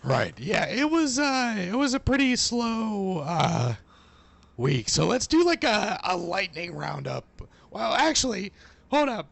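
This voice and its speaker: A man co-hosting a podcast in casual chat, hoarse from losing his voice, talking in a relaxed, rambling way with fillers. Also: high voice, slow pace, dry recording. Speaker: podcast co-host.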